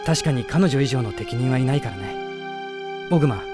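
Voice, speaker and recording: Man acting a calm, earnest young prince. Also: mid-range voice, close to an everyday voice. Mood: resolute. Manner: soft-spoken, measured, earnest. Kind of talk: radio drama acting. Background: music.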